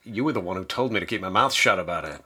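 An actor playing a curious young student traveler in a radio drama, uneasy and bookish, with hesitant, earnest phrasing. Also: male voice, low voice, animated, fast talker, sharp tone, husky, exaggerated voice. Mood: haunted, confessional. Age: young adult.

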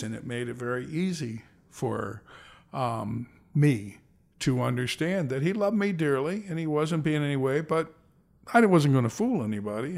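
A man's voice: mid-range voice, creaky. A man in his sixties, an interview guest telling rambling, self-correcting anecdotes about his childhood and career. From American Southwest. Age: 60s. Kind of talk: reflective storytelling.

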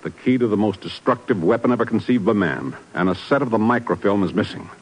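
Husky, low-voiced, worried bureau chief. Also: male voice, expressive, fast, low-quality recording. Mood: grave. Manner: exasperated, emphatic, urgent. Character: gruff, worried male intelligence bureau chief. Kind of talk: 1950s radio drama acting.

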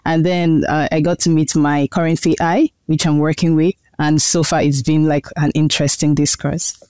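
A woman's voice: low voice, level tone, normal speed, a little mellow, smooth, no room sound, studio quality. A woman, explaining her research as a guest in an earnest, thoughtful interview. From Nigeria. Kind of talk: interview answers.

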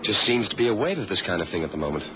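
Wry agent sidekick, deep voice, casual delivery, noisy vintage radio drama. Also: male voice, big pitch swings, very fast. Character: Johnny, wisecracking, easygoing American male agent sidekick. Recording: noisy old radio recording.

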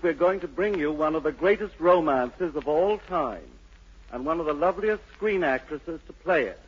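A man's voice: fairly high pitch, slightly rough. A man presenting a 1940s radio programme in a formal, promotional, scripted manner. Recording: muffled 1940s radio broadcast.

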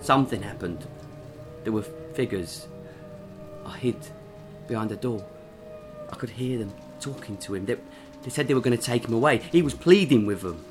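A man's voice: mid-range voice, expressive, music in the background, close to an everyday voice. An actor playing a nervous drifter who stammers and halts as he recounts something horrifying. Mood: nervous, shaken. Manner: halting, stammering, frightened.

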